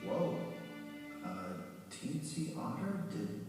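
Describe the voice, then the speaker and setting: A man playing a laid-back, skeptical fox in an animated film, with drawn-out delivery. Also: fairly high pitch. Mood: incredulous. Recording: echoey, distant, music behind.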